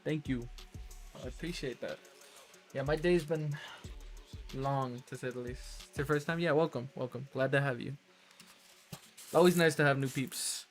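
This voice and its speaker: A man's voice: mid-range voice, steady tone, normal speed, long pauses, faint music behind. A man chatting casually with viewers as a game streamer, friendly, appreciative and slangy. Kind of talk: casual livestream chat with viewers.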